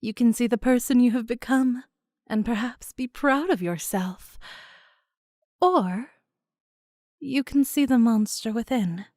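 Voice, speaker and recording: Woman doing a mysterious fantasy narrator, very slow and portentous, dry sound. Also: fairly high pitch, a little mellow, dry recording, lightly acted. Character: mysterious, measured female fantasy storyteller. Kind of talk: dramatic scripted narration.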